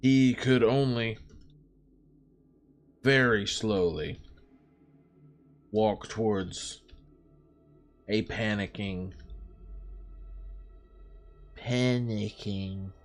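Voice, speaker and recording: A man's voice: lowish voice, drawn-out words, pauses often. A man livestreaming in casual, sweary bro slang, chatting and composing a story aloud. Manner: informal, profane, bro slang. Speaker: live-streaming writer and gamer. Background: background music.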